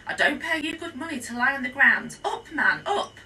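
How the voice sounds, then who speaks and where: A woman puts on a stern headmistress from a children's storybook. She is curt, commanding and impatient. The voice is very sharp and forceful, pitched high. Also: very animated, normal speed, recorded from a distance, a little hiss or hum, exaggerated voice. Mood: stern, scolding. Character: stern, scolding female headmistress, bossy and impatient.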